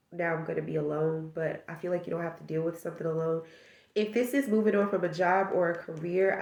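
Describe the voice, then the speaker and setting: A woman's voice: on the low side, echoey room. A woman with a stuffed-up nose giving a casual tarot reading, musing aloud and rambling through possibilities. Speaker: tarot reader.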